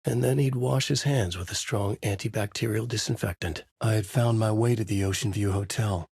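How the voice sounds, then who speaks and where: An actor playing a brooding, haunted male writer who gravely narrates his own horror story in a husky voice for a video game. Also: lowish voice, moderate pace, a little mellow, dry recording, clean studio sound. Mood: brooding, ominous.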